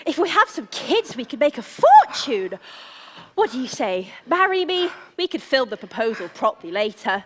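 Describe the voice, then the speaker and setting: A woman's voice: sits high, very animated, normal speed, slight background noise. A woman playing a vain, self-absorbed young prince in a live stage pantomime, theatrical and pleased with himself. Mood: smug, eager. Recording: echoey theatre hall, long reverb.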